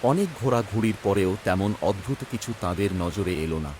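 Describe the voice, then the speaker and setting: A man's voice: lowish voice, animated, a little fast, a little mellow. A man giving scripted narration for a cartoon story. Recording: noisy track with rain and thunder.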